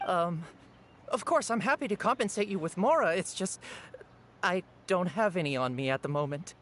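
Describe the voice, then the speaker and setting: A man's voice: high voice, lively intonation, normal speed, a bit bright. A man voicing an eager, humble young newspaper seller in a video game, polite, apologetic and flustered. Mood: nervous. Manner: polite, flustered, self-effacing. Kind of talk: video game character dialogue.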